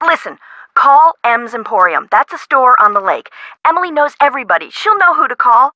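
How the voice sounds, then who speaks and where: Anxious, high, bright young woman. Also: very animated, brisk, no echo, exaggerated voice. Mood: nervous, urging. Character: anxious, flighty, chatty young woman friend. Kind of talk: video game phone dialogue.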